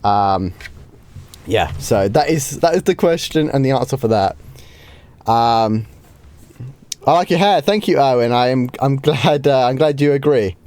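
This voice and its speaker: Guy streaming a game, bit croaky from a cold, relaxed chatty q&a, lots of ums. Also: medium pitch, measured pace, pauses often. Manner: chatty, easygoing, with frequent ums and light laughs. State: slightly hoarse from a starting cold. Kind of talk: casual live stream Q&A.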